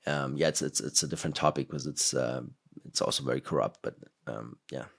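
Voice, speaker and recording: A man's voice: rumbling low, fairly even intonation, fairly quick, vocal fry, husky. A man hosting a podcast, rambling in casual conversation with many fillers. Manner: rambling, filler-heavy, laughs between points.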